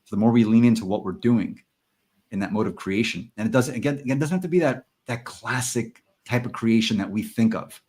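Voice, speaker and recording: A man's voice: medium pitch, a little fast, a little mellow, slightly rough. A man hosting a podcast, speaking earnestly and enthusiastically about ideas. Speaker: podcast host. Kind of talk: casual podcast chat.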